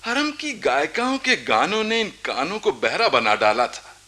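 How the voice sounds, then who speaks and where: A proud young Nawab in a radio play. His high voice is piercingly bright and swoops widely. He declaims grandly and takes his time over every phrase. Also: huge pitch swings, moderate pace, heavily acted. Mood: brooding, haunted. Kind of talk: theatrical Hindi radio play acting.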